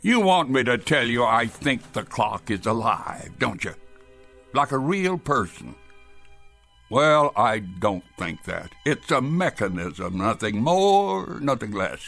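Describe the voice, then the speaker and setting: A man's voice: mid-range voice, sing-song, slow, creaky, fairly bright tone, soft background music, heavily acted. An actor playing a stubborn, witty old man in a radio drama, wry and evasive, turning questions back. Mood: wry, defensive. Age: older. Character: witty, stubborn old man devoted to his heirloom clock.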